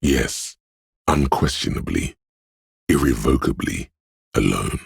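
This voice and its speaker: A man playing a detective's ominous, dreamy intuition in a video game, speaking in a hushed, portentous, theatrical way with dramatic pauses and a gravelly, husky voice. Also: a bass voice, no echo, clean studio sound, heavily acted. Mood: brooding, mysterious. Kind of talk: video game voice acting, second-person inner monologue.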